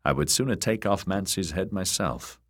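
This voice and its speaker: A man's voice: deep voice, lively intonation, moderate pace. A man voicing a stern, weary young military commander in an audiobook, terse and used to giving orders. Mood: grave.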